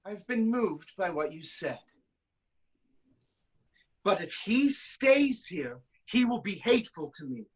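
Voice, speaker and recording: A woman's voice: mid-range voice, sing-song, takes it slowly, long pauses, husky, full character voice. A woman playing a proud, suspicious king in a staged reading of Greek tragedy, with stern declamatory delivery. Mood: bitter, resigned. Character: proud, suspicious, hot-tempered male king of Thebes.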